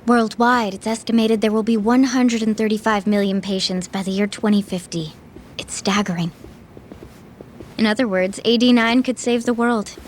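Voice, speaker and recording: A woman's voice: mid-range voice, steady tone, normal speed, a little hiss or hum, close to an everyday voice. A woman playing a composed, idealistic medical researcher in a video game, explaining things earnestly. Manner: articulate, earnest.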